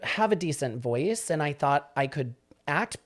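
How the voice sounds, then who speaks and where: A man in his late thirties with a fairly high voice for a man, chatting as a podcast guest and telling his story with lots of quoted asides. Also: expressive, measured pace. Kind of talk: casual interview chat.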